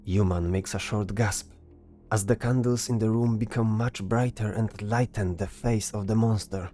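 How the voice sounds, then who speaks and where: A man's voice: on the low side, steady tone, moderate pace, warm tone. A man reading scripted narration for a fantasy story as its narrator. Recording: music behind the voice. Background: music.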